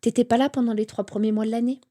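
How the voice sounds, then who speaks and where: A woman's voice: a little high, fast talker. A woman in her thirties, a guest telling a personal story candidly, acting out the lines of people she quotes. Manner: animated storytelling with quoted dialogue. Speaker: interview guest.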